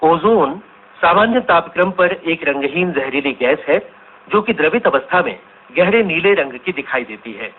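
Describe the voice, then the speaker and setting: Husky, high-pitched male reporter. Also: lively intonation, moderate pace. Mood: explanatory. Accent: Indian. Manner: formal, expository. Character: male radio science reporter explaining facts. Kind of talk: scripted radio report. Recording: muffled, phone-like radio broadcast sound.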